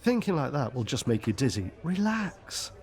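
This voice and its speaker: Laid back wry mate, reasoning things out, voice moves around a lot, radio soap. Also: male voice, medium pitch, sing-song, normal speed. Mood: wry, reassuring. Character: easygoing, level-headed friend and amateur bookie.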